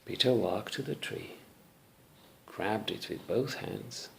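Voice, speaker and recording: A male English teacher slowly telling a folktale in simple English for learners, pausing to check in with listeners. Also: lowish voice, animated, creaky, a bit bright, slight background noise. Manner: slow, deliberate, checks in with listeners.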